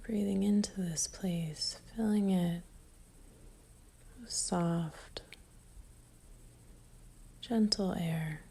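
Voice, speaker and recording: A woman guides a meditation in a soft, breathy near-whisper. Her voice is fairly low and mellow, with a slight creak at the edges. She is calm and gentle, leaving long pauses between phrases.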